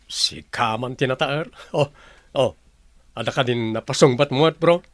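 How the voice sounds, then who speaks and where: An actor with a Filipino accent playing a kind, lovestruck young suitor, earnest and eager, in a radio drama. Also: male voice, medium pitch, moderate pace, no room sound, lightly acted. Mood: delighted.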